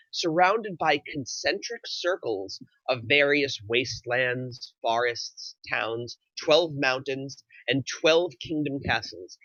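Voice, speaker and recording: Male narrator, crisp bright tone, middle pitch, screenplay reading, dry slightly lo-fi recording. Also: dry recording. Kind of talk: reading screenplay action lines aloud.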